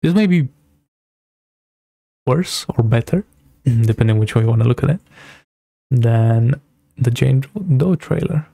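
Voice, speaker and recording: Husky, mellow young man streaming. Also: mid-range voice, a little slow, long pauses, no echo. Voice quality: husky, gravelly. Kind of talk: casual live reaction commentary. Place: indoor home streaming room.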